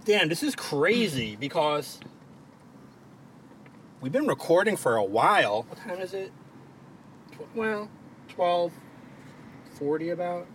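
A man's voice: high voice, very animated, takes it slowly, pauses often, a bit bright, a little hiss or hum. A man with a Baltimore accent hosting a casual podcast, joking and swearing freely. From Maryland. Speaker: podcast host. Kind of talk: casual chat. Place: parked car. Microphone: home podcast mic.

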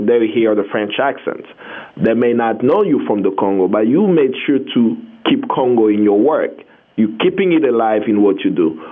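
A man co-hosting a radio interview, asking measured and probing questions. His voice comes through a thin, phone-like channel. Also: lowish voice. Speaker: radio co-host.

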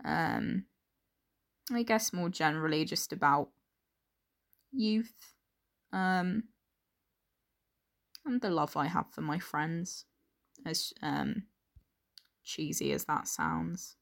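Young woman reading her poem, lowish voice, takes it really slow. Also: vocal fry. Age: young adult. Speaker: poet. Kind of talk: introducing and reading own poem.

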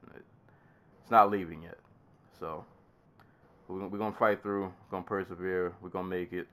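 A man's voice: low voice, fairly even intonation, moderate pace, a bit bright. A man hosting a casual podcast while congested with a summer cold, laughing and coughing often. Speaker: podcast host.

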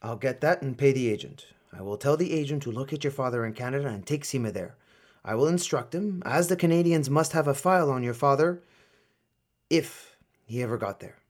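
A man acting in a radio play as a stern but protective older colonel and uncle figure, grave and deliberate. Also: medium pitch, expressive, a little mellow, lightly acted. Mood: resigned, businesslike.

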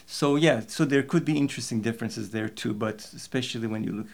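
A man's voice: medium pitch, quick pace. A man who speaks Persian as his first language, a linguistics professor answering interview questions in a thoughtful, explanatory way with fillers and light laughs.

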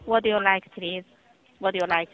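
A woman's voice: medium pitch, steady tone, fairly quick, dry recording. A woman with a Chinese accent, a native Chinese speaker, answering a restaurant phone in short, curt, repetitive phrases. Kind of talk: brief customer service phone talk. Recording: tinny, narrow telephone line.

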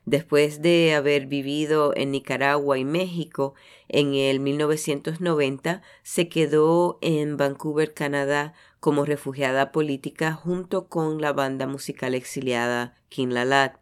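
A radio host introducing the guest in a clear announcer delivery. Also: mid-range voice, steady tone, unhurried.

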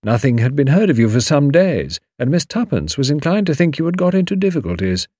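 A man voicing a shrewd, grave older English lawyer in an audiobook, measured, precise and formal. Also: a little high, very animated, warm tone, husky, no echo, clean studio sound, voice pushed up. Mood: cool, formal. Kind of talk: audiobook character dialogue.

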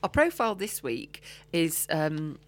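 A woman's voice: sits low, sing-song, a little slow. A woman co-hosting a podcast in casual chat, often trailing off with ums and restarts. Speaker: podcast co-host.

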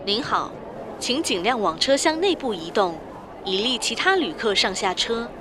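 A polite transit system voice, played by a woman, fairly high and melodic. She delivers a scripted train announcement amid clear crowd noise in a radio drama. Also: big pitch swings, normal speed. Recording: noisy, crowded train ambience.

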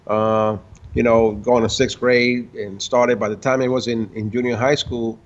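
Halting older man, muffled lo-fi sound. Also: medium pitch, level tone. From Dominican Republic, raised in Puerto Rico. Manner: halting, many restarts, laughs between stories. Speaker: guest, car builder and tuner. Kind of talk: casual interview storytelling. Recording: dull lo-fi sound.

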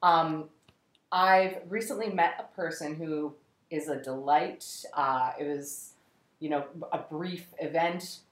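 A woman's voice: deep voice, slow. A young woman, a podcast guest, chatting casually and telling a story, acting out the texts she quotes. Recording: roomy room echo.

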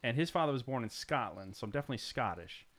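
Man, podcast host, very quick pace, mid pitch, wry teasing banter, casual. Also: lively intonation, a bit bright. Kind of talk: casual banter, beer tasting chat.

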